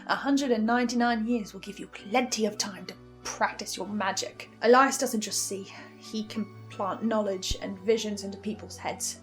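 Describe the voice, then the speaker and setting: A woman's voice: medium pitch, steady tone, normal speed, faint music behind. A woman giving semi-scripted theory commentary with a theatrical, emphatic delivery. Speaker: podcast listener sharing theories.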